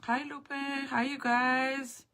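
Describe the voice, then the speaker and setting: A woman's voice: high-pitched, flat delivery, slow pace, bright, forceful tone. A woman in her late thirties chatting casually and frankly with livestream viewers, rambling from topic to topic. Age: 30s. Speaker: livestream host.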